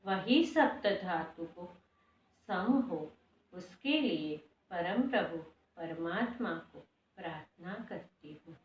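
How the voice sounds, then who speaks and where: A woman leading a guided meditation, speaking prayers and affirmations slowly and deliberately in short, repeated phrases. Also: fairly low pitch, expressive, a little hiss or hum. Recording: echoey room, distant mic, dull sound.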